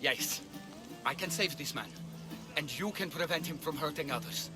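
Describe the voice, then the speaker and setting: Actor as an earnest healer, music behind. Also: male voice, a little high, lightly acted. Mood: grateful, earnest. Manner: earnest, measured. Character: earnest, conscience-torn ancient Greek healer. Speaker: video game character. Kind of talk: dramatic game cutscene dialogue.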